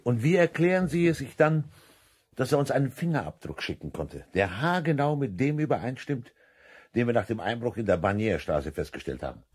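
An actor playing a brisk, businesslike police inspector in a 1970s radio play, speaking in an official, insistent manner. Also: male voice, mid-range voice, expressive, a little mellow, slightly rough.

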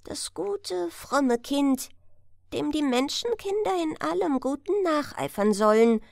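A woman's voice: high voice, expressive, takes it slowly, vocal fry, a little mellow, dry recording, clean studio sound, pitched above the performer's own voice, heavily acted. A woman voicing a solemn, declamatory stork messenger bearing a holy child in a fairy-tale audiobook. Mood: solemn, excited.

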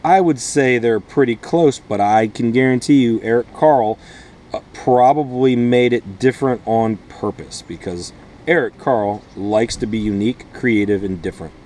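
A man's voice: medium pitch, moderate pace, darkish tone, slight background noise. A man in his thirties, a schoolteacher, speaking informally to children and parents and explaining things step by step in simple words. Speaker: schoolteacher host. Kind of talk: read-aloud and informal lesson addressed to children and parents. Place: inside a small plastic-sheeted wooden greenhouse.

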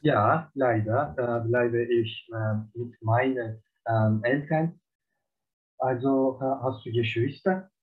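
A man's voice: mid-range voice, slow. A man in his twenties with a Turkish accent, practicing a German speaking exam with many hesitations. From Turkey. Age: 20s. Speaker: language learner. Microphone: video call. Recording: tinny phone-like online call.

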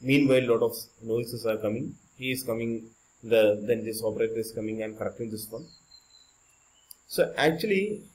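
A man's voice: medium pitch, low-quality recording. Man, Indian accent, mid-range voice, informal lecture, teacher, simple non-native English, repeats points. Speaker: electronics teacher. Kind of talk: informal explanatory lecture over slides. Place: indoors, screen-recorded voice-over.

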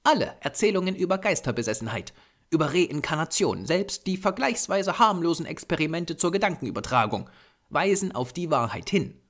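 A small, lean Japanese doctor of the occult , acted out by a man reading an audiobook. The voice is raised high and moves about a lot. He lectures patiently and formally, with earnest insistence. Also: sing-song, fairly quick, a bit bright, exaggerated voice. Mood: insistent. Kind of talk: audiobook character voice.

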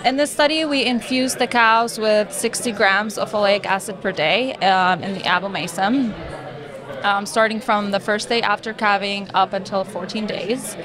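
A woman's voice: mid-range voice, moderate pace, a crowd in the background. A woman in her twenties from Lebanon, a graduate student explaining her research in a podcast interview, with many ums and restarts. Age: 20s. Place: conference hall booth with busy crowd behind. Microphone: table mic on stand, wearing headphones.

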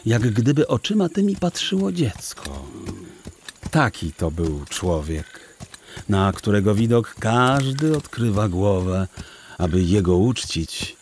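A man narrating a children's audiobook in a literary, theatrical, deliberate storytelling manner. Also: mid-range voice, a little mellow, slight background noise. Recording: music under the voice. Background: music.

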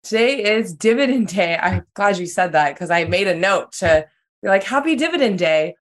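A woman's voice: mid-range voice, expressive, normal speed. A woman co-hosting a podcast in a casual, upbeat way, her voice a little worn. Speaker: podcast co-host.

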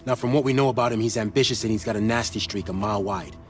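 An actor playing a wry, earnest intelligence contact in a video game, briefing a soldier in a conspiratorial, colloquial way. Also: male voice, lowish voice, quick pace, faint music behind, close to an everyday voice. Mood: keen. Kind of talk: video game cutscene dialogue.

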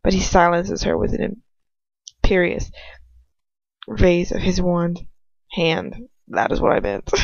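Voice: medium pitch, gravelly, no room sound, highs cut off. An amateur reader telling a fan fiction story aloud in a casual manner, stumbling and breaking off for informal asides. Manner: casual, breaks off to comment and stumble over words.

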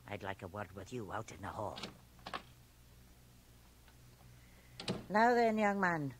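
Woman acting a stern, husky-voiced scolding mother. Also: medium pitch, lightly acted. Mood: outraged. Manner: scolding, imperious. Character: stern, domineering, scandalised small-town mother. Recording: 1980 radio drama from home cassette transfer.

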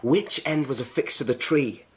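A performer playing an eager, boastful emperor who loves riddles in a radio drama, excitable and pompous. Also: mid-range voice, normal speed, lightly acted. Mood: smug.

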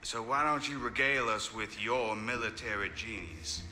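A man's voice: on the low side, normal speed, over music, close to an everyday voice. A man playing a smug, sardonic corporate security chief in a video game, with a theatrical, sarcastic drawl. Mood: mocking. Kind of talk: video game cutscene acting.

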